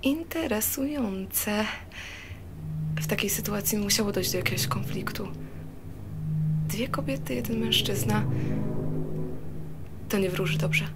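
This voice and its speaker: A woman's voice: medium pitch, a little slow, pauses often, heavy background noise, close to an everyday voice. A woman playing a cool, self-assured detective in a radio drama, questioning in a composed, pointed way. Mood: musing.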